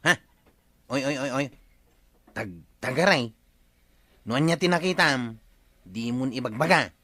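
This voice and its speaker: A man with an Ilocano accent playing a slow, drawling village watch chief in a comic radio drama. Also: mid-range voice, expressive, sharp tone, no room sound.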